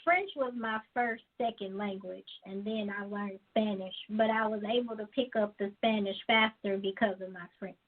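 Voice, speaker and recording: A woman's voice: medium pitch, fairly even intonation, moderate pace, few pauses. A woman in her forties, a guest on an interview podcast, answering in a thoughtful, easygoing way and laughing often. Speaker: guest, actress and musician. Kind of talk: casual interview. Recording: tinny phone-line sound.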